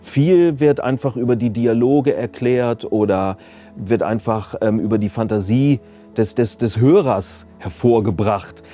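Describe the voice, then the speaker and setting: A man's voice: medium pitch, a little slow, dark tone. A man, explaining his work as a sound designer in a casual interview. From Germany. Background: music.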